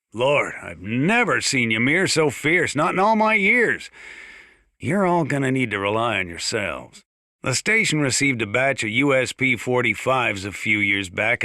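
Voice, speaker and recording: An actor playing a gruff, plain-spoken old small-town mountain sheriff with an American accent, talking over the radio in a video game. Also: male voice, mid-range voice, bright, forceful tone, no room sound, clean studio sound. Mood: grim, resigned. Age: older. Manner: folksy, plain-spoken, drawn-out hesitations. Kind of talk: in-game radio broadcast monologue.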